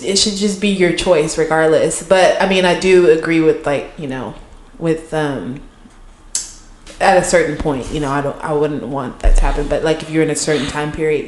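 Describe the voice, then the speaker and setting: A woman's voice: deep voice, moderate pace, roomy sound, a little hiss or hum. A woman co-hosting a podcast, chatting casually with lots of fillers. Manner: chatty, many fillers and restarts. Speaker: podcast co-host.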